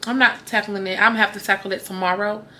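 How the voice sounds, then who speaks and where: A woman's voice: medium pitch, steady tone, fast talker, bright, forceful tone, slight background noise. A young woman, chatting casually to the camera at home at night, tired and rambling, often trailing off to herself. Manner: tired, rambling, talks to camera and her dog. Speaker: vlogger. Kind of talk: casual vlog chat.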